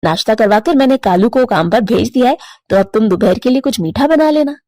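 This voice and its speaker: A woman's voice: on the high side, expressive, fairly quick, mellow, no echo, studio quality, voice pushed down, lightly acted. A woman voicing a worried, long-suffering middle-aged mother crow in a cartoon story, fretful and motherly. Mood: patient, firm. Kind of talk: animated cartoon moral story.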